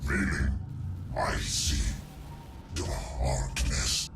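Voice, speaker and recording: A man voicing a commanding, monstrous dark entity in a video game. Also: extremely slow, music in the background. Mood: menacing. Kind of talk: dramatic video game acting.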